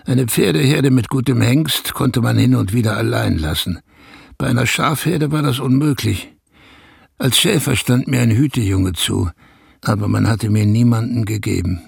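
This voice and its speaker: Radio drama narrator: burdened shepherd, sober, very hoarse-edged and gravelly, dry sound. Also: male voice, mid-range voice, moderate pace, dry recording, exaggerated voice. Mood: sober, reflective. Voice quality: creaky, very husky. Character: sober, burdened male shepherd recalling hard years. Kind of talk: first-person radio play narration.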